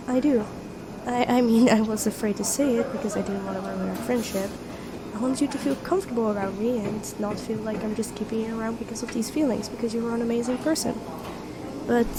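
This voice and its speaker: A woman playing a sweet, supportive young best friend with a secret crush, speaking gently and earnestly in an intimate audio roleplay. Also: fairly high pitch, noisy background, natural voice. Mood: flustered, shy, then tender, relieved. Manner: gentle, earnest, encouraging, conversational with soft hesitations. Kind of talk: intimate roleplay audio acting, one-sided dialogue. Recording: cafe chatter behind the voice. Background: coffee shop crowd ambience.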